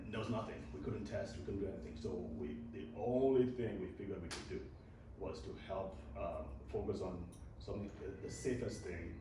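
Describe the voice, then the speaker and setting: A man from Nigeria, a doctor giving a live talk to an audience, speaking in a relaxed way, often repeating words and chuckling. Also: deep voice. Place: large echoey hall. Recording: echoey hall with distant mic and noise.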